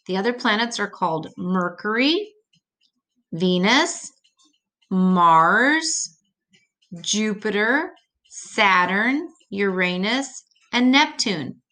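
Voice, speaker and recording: Slow-paced older woman reading to children. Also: mid-range voice, sharp tone. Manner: simple, patient explaining. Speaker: storyteller reading a children's educational book. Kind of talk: read-aloud to children with explanatory asides.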